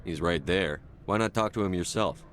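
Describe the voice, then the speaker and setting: An actor playing a blunt, no-nonsense military commander in a game, with a terse, firm delivery. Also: male voice, sits low, normal speed, fairly bright tone, slight background noise. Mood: wary, direct.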